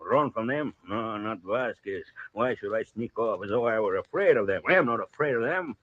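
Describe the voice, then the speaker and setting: An actor playing a boastful, jovial and commanding bandit chief with a Mexican accent in an old radio western. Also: male voice, on the low side, expressive, normal speed, dry recording. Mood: proud, amused. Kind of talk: 1940s radio western acting. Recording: muffled old radio broadcast recording.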